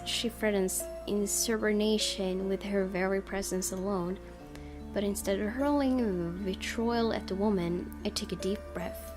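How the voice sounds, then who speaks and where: A woman streamer reading a visual novel aloud and adding casual, musing commentary. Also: medium pitch. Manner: musing, hesitant asides. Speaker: let's play streamer. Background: faint game music.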